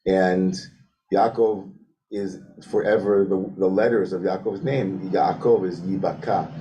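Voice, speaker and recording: A man with a lower-than-usual, mellow voice leads a study group. He thinks out loud, doubling back and filling gaps with "like" as he builds his point. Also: steady tone, measured pace, rough recording. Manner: thinks aloud with many restarts and "like" fillers. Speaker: rabbi leading a study session. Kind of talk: animated teaching discussion. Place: synagogue room with a Zoom link.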